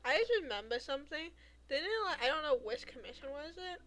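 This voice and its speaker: A woman's voice: high voice, lively intonation, fairly quick, fairly bright tone. A woman game streamer chatting casually and in a rambling way while she plays. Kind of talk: casual live stream chat over gameplay.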